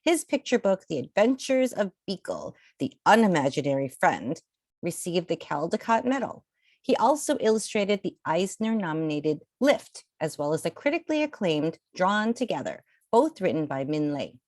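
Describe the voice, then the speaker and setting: A woman reading aloud to children in an expressive, theatrical storytelling style, drawing out key words. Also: on the low side, normal speed, no room sound, clean studio sound. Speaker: children's picture book reader.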